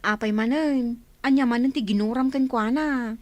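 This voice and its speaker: A woman acting a friendly, level-headed young village friend in an Ilocano radio drama, chatty and gently chiding, with an Ilocano accent. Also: fairly high pitch, normal speed, no room sound, lightly acted. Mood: chiding, concerned.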